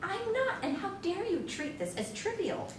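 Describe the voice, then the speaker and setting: A woman's voice: very high-pitched, sing-song, moderate pace. A woman playing an indignant, compassionate middle-aged mother scolding her family in a staged comedy play reading. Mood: pleading, firm. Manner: emphatic, scolding. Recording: roomy, distant stage recording with noise.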